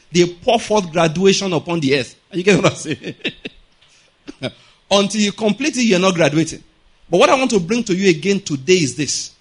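A man's voice: high-pitched, a bit bright, slightly rough. A middle-aged man preaching a sermon, emphatic and often checking that listeners follow. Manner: emphatic, keeps asking "are you getting my point?".

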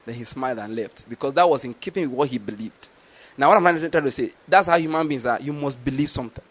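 A man's voice: mid-range voice, fast, breathy edge. A middle-aged man preaching a sermon, telling stories and asking rhetorical questions. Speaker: pastor.

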